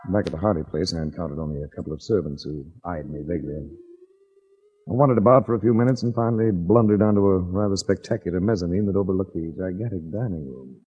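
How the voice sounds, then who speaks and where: An actor playing a cool, wisecracking insurance investigator with a dry, hardboiled delivery in 1940s radio drama. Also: male voice, low voice, fast talker, warm tone, soft background music, close to an everyday voice. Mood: wry narrating. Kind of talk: 1940s radio detective acting and narration. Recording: muffled old 1940s radio recording.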